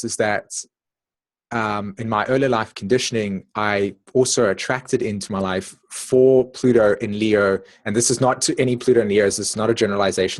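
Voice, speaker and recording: A man with a South African accent giving an informal, reflective talk in an online meeting, haltingly repeating words and checking in with his listeners. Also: lowish voice. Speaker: astrologer presenting at an online meeting. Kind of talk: informal spoken lecture with personal reflections.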